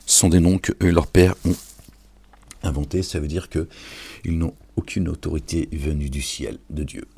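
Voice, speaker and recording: A man's voice: rumbling low, steady tone, normal speed, creaky, mellow, breathy edge. A man giving an informal, sermon-like reflection on scripture, preaching and addressing his listeners familiarly with frequent check-in questions. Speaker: online religious and political commentator.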